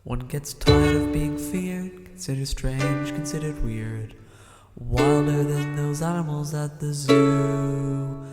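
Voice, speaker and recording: A woman playing a gentle, misunderstood wolf, singing its plea in an audio drama song with guitar. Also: low-pitched, steady tone, slow pace. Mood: gentle, pleading. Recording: reverberant, over loud plucked guitar. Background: guitar music.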